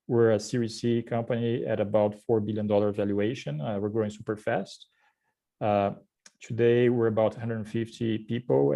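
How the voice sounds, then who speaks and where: A man's voice: lowish voice, vocal fry, dark tone. A man in his forties with a Brazilian accent, giving a friendly introduction on a video call, with frequent filler sounds and self-deprecating remarks. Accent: Brazilian Portuguese. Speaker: guest speaker, head of corporate strategy. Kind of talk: casual webinar self-introduction.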